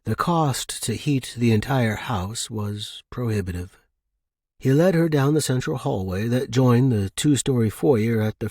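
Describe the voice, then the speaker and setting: Male podcast host and fiction narrator, lower register, husky texture, dry close recording. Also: a little mellow, no room sound. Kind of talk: casual intro and scripted audiobook narration.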